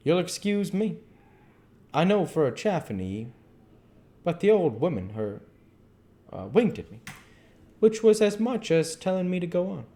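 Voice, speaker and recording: A man's voice: high voice, very animated, a little slow, long pauses, dark tone, voice pushed up, heavily acted. A man voicing a talkative old zookeeper in an audiobook, rambling in folksy, emphatic dialect. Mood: folksy, opinionated. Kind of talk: audiobook character voice.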